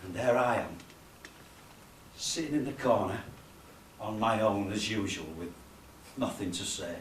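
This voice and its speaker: A man's voice: lowish voice, unhurried, slight background noise, close to an everyday voice. An actor playing a nervous, lonely, unassuming man who stammers and halts through a self-deprecating comic stage monologue. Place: cafe bar performance space. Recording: echoey room, distant camera microphone.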